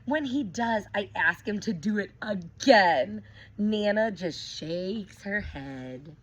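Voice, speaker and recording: A woman teacher reading a picture book aloud to young children, expressive, stopping to ask them questions. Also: mid-range voice, takes it slowly, dull audio, a little hiss or hum.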